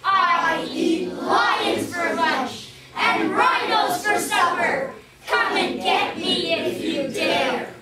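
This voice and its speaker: A booming cave monster, shouted by a woman hurls a slow, chanted boast in a high, bright, forceful voice. It sounds roomy and distant from the mic. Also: some chatter behind, slight background noise, heavily acted. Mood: booming, chanting. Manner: slow, loud, chanted rhyme. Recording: echoey room, distant mic.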